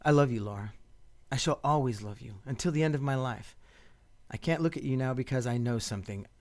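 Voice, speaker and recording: Actor as an earnest, lovestruck gentleman doctor. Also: medium pitch, brisk, close to an everyday voice. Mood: tender, sorrowful. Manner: earnest, pleading. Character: earnest, lovestruck married doctor, tender and persistent. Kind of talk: radio play acting.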